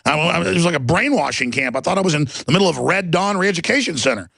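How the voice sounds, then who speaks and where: A man's voice: on the high side, lively intonation, fast, vocal fry, fairly bright tone, breathy edge, no echo, clean studio sound. A man with a Texas accent hosting a combative call-in radio show, ranting indignantly and restarting his sentences. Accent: Texan. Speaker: radio talk show host.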